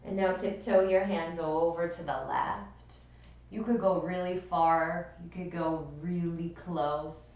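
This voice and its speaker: A woman with a raspy, strained voice gently cueing breath and movement as a yoga and pilates instructor. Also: fairly low pitch, fairly even intonation, slow pace, dull audio. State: strained voice from overuse. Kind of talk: guided class instruction. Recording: echoey room, voice from far off.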